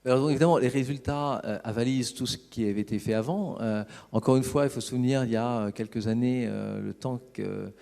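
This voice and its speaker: A man giving a semi-improvised, halting and discursive explanation as an executive at a conference. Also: medium pitch, creaky, dark tone. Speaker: business executive presenting a case study. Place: conference hall on stage. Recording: reverberant hall sound.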